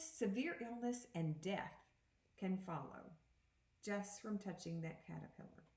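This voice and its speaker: A woman reading a children's picture book aloud as a second-grade teacher, with deliberate, explanatory phrasing. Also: medium pitch. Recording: echoey room.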